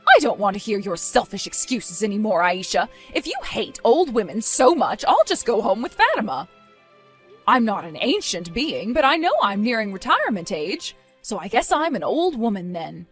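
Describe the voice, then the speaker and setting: A woman's voice: mid-range voice, sing-song, normal speed, faint music behind, lightly acted. A woman voicing a stern, disappointed mother nearing retirement age in a dramatized story, scolding with weary firmness. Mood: stern, disgusted.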